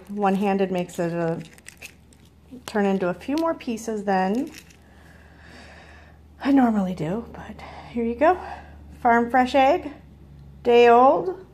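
A woman's voice: mid-range voice, animated, slow pace, long pauses, a little mellow, slight background noise. A woman chatting casually as she demonstrates cooking, rambling and correcting herself, filmed on a phone in her home kitchen. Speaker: farm vlogger. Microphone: phone held in hand.

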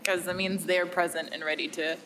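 Actress in a reverberant, noisy hall. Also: female voice, on the low side, little pitch movement, a little fast. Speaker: actor. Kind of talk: casual interview talk. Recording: reverberant hall, clear background noise.

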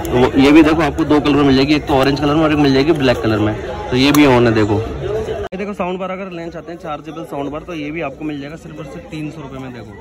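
A young man with a slightly high voice talks casually to his phone camera while he browses a street market. He keeps up a chatty running commentary on items and prices, with a crowd murmuring behind him. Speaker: vlogger. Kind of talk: walking market tour vlog. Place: busy outdoor street market. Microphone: handheld phone camera.

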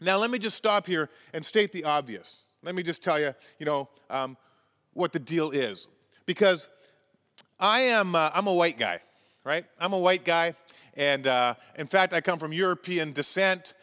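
A man's voice: on the high side, expressive, moderate pace, sharp tone, in a large hall. A Canadian man in his forties, a pastor preaching a sermon from a church stage, earnest and emphatic. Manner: earnest, emphatic, gestures constantly while preaching. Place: church stage with wooden wall, large hall.

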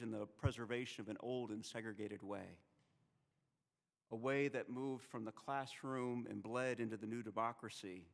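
A man in his thirties delivers a sermon into a lectern microphone. His preaching is measured and rhetorical, with dramatic pauses. The long reverb of a chapel hall trails behind his words. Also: mid-range voice. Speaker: seminary president preaching in chapel. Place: chapel with stone wall, at a wooden lectern.

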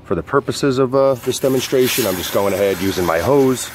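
A man's voice: mid-range voice, animated, a little fast, noisy background. A man reviewing gear in an informal, hesitant, step-by-step instructional way, as a casual demonstration. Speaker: product reviewer and outdoor coach.

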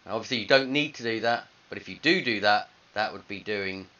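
Low, bright-voiced man giving a software tutorial. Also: fairly quick. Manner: casual, instructional, thinking aloud. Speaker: design teacher. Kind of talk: screencast software tutorial, informal step-by-step narration. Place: indoors at a computer. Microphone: headset microphone. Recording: dull lo-fi headset audio, faint hiss.

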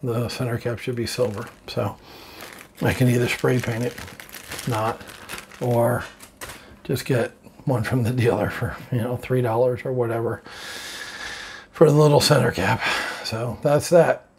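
A man's voice: medium pitch, steady tone, a little slow, long pauses, a little mellow, slight background noise. An older man chatting casually to his camera from a bedroom, rambling through a story and laughing at it. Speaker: vlogger. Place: bedroom, indoors.

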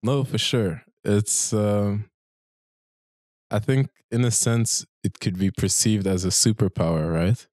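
A young man with a fairly low voice hosts a relaxed-format chat. He reflects informally, often checking in with "right?" and leaning on "like". The handheld mic gives a dry sound. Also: takes it slowly, no echo. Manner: informal, tags points with "right?" and "like". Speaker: talk show host. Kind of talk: casual conversational reflection. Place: small barbershop, indoors. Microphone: handheld microphone.

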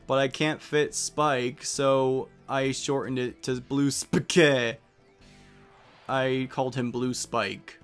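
A young man giving casual, animated commentary as the host of a video game let's play. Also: medium pitch.

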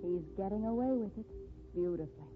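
A woman's voice: medium pitch, animated, brisk, natural voice. An actress playing a determined, devoted woman writer, insistent and emphatic in 1950s radio style. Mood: devoted, defiant. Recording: tinny, noisy 1950s radio recording.

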